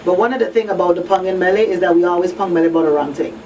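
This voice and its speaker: A woman hosting a live radio discussion in an earnest, deliberate way. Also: on the low side, a little mellow, muffled recording. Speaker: radio talk show host. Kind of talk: live discussion, advocacy talk. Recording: distant mic, faint background noise.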